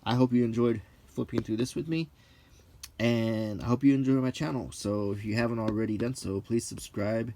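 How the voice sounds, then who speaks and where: A middle-aged man hosting a casual, ASMR-like show-and-tell, reading out names in a halting, list-like way with brief musing asides. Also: medium pitch, steady tone, warm tone, slight background noise. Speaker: video host.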